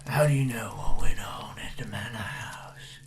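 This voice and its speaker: A man's voice: lowish voice, normal speed, gravelly, in a whisper. A man playing a forgetful old village storyteller in a comic audio drama, ominous and rambling. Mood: muddled, rambling. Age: older.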